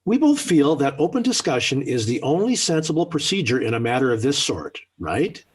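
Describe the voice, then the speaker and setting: A man's voice: medium pitch, big pitch swings, a little fast. An American actor playing a genial, talkative middle-aged suburban father in a 1940s radio sitcom, hemming a bit and then turning firm. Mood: firm, presiding. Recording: slightly rough 1940s radio recording.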